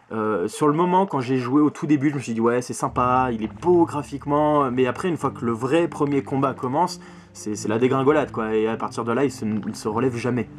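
Young adult male, mid-pitched, emphatic conversational delivery for a casual collector's vlog. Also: darkish tone. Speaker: video game collector and YouTube host. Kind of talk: casual video chat to camera. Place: small bedroom full of games, indoors. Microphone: camera mic at arm's length.

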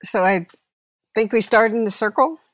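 An actress in an audio play playing a wry, warm-hearted woman among family, in a naturalistic comic style. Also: a little high, moderate pace, noisy background, lightly acted. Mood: playful. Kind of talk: naturalistic comedy acting.

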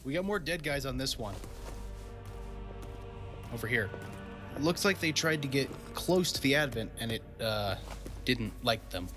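Actor as cocky, practical sidekick: mid-pitched man, casual and wry, audio adventure, strong music bed. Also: lively intonation, a little slow, pauses often, natural voice. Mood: curious, wry. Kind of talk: audio drama acting. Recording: loud music under the voice.

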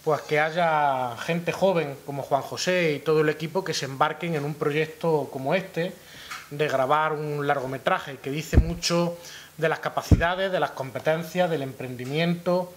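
A young man with an Andalusian Spanish accent giving a formal press conference speech, deliberately setting out his points. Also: on the high side, a little hiss or hum. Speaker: regional youth official. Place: indoor press room at town hall. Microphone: gooseneck table microphone.

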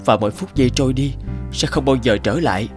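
A man's voice: medium pitch, quick pace, faint music behind, lightly acted. Shy, earnest man voiced by an actor. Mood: heartfelt. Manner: hesitant, earnest. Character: shy, heartfelt man confessing his love. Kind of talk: animated drama voice acting.

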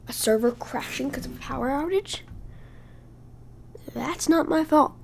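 A woman hosting her own podcast, speaking casually while hoarse from losing her voice, halting and often dropping to a breathy whisper. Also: high-pitched, a little hiss or hum. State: hoarse, lost voice. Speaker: podcast host. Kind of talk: casual solo podcast talk.

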